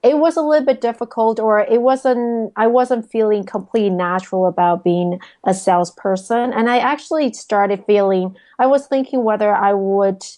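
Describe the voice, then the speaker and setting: A woman's voice: mid-range voice, normal speed, hardly any pauses, warm tone. A woman from Taiwan, answering interview questions thoughtfully, with frequent "um"s and restarts. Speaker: guest, sales coach.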